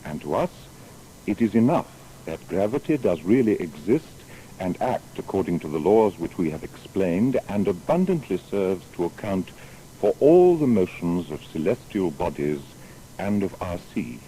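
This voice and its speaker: A grave old-time scientist in an audio drama, low mellow voice, formal and declaiming, faint hiss. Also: male voice, sing-song, moderate pace, rough recording. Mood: firm, reasoned. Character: learned, solemn historical English natural philosopher. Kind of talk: dramatised reading of historical writings.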